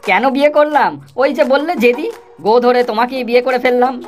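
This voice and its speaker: A woman's voice: high voice, fast talker, soft background music, natural voice. A woman voicing an easygoing, teasing village father in a children's cartoon, playful and reasoning in manner. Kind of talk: Bengali children's cartoon acting.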